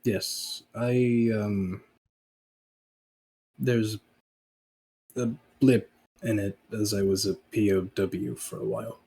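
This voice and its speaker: A man playing a laconic, obedient hired gun and veteran in a tabletop roleplay, halting, deferential and deadpan. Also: on the low side, vocal fry, breathy edge, full character voice, rough recording. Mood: dry, deferential. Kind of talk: improvised in-character tabletop roleplay.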